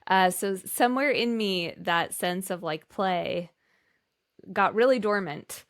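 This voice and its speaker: A woman's voice: mid-range voice, slow. A middle-aged woman telling a personal story casually on a podcast, in an informal, emphatic way. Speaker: podcast panelist.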